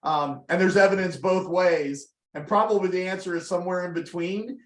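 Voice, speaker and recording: A man in an academic seminar discussion, explaining his field in a lively, rambling way. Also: sits high, normal speed. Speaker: seminar presenter, disease researcher. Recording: roomy echo.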